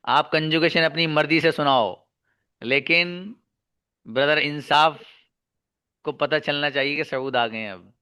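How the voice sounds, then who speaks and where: A man's voice: on the high side, a little slow, long pauses, bright, forceful tone, smooth. A man teaching an online English class, calling students by name and praising each one briefly.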